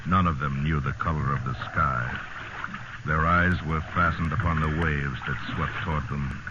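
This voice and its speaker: A man acting as the grave, measured narrator of a sea survival tale in a 1950s radio drama. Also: very deep voice, steady tone, background noise. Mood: solemn. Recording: muffled old radio recording, loud music behind.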